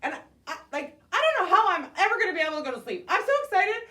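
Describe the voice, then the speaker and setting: A woman voicing an excitable, giddy childlike boy in a children's puppet show, recorded with roomy echo. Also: very high voice, racing, exaggerated voice. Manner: giddy, gushing. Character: excitable childlike puppet boy, wildly eager about dragons. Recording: roomy echo, distant microphone.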